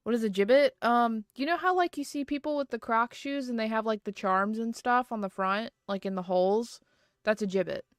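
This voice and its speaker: A woman's voice: fairly high pitch, brisk, no echo, studio quality. A woman livestreaming from home, chatting casually and rambling with lots of fillers and restarts. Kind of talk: casual chat.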